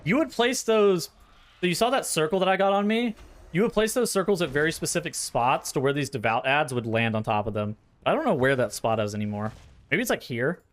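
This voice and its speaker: A man with a fairly high voice talks through game strategy in a casual, blunt way. He swears freely and thinks out loud as he explains. His throat sounds a bit worn. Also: lively intonation, a little fast. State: voice tiring, sore throat. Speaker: streamer. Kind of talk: casual gameplay commentary, explaining dungeon strategy.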